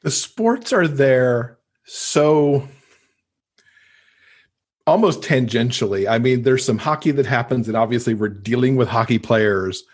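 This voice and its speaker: A man's voice: medium pitch, lively intonation, measured pace. A middle-aged American man from Michigan chatting casually as a guest in an interview. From Michigan, United States. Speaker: podcast guest, romance author.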